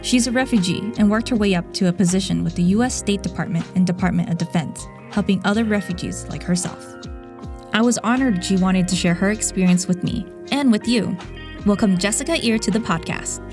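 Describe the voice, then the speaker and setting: A woman hosting a podcast, giving a measured, reflective scripted narration. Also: mid-range voice, music in the background. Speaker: podcast host.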